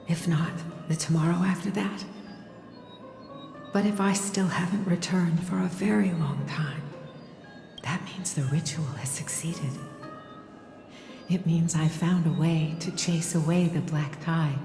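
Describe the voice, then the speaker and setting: A hushed, low-voiced gentle mother. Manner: tender, slow, hushed and breathy. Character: gentle, loving mother and holy maiden soothing her child. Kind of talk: dramatic game cutscene acting. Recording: echoey long reverb under clear music.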